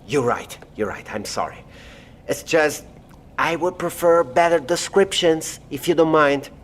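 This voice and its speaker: An actor playing an exacting, easily frustrated doctor in an audio drama, with an insistent, fussy manner. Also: male voice, on the high side, a little slow, a little hiss or hum, natural voice. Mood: apologetic, exacting. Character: impatient, exacting male doctor, sarcastic under frustration.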